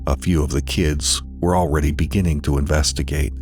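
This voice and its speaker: Very deep-voiced man narrating horror over music. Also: steady tone, a little mellow. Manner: slow, deliberate, dramatic delivery with pauses. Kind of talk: dramatized scripted horror narration.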